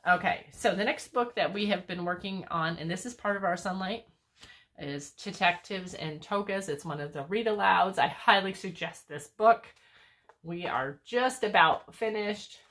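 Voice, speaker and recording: A woman's voice: medium pitch, moderate pace, a bit bright. An older woman, a homeschooling grandmother, chatting enthusiastically about books in a casual way with lots of fillers. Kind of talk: casual book review chat.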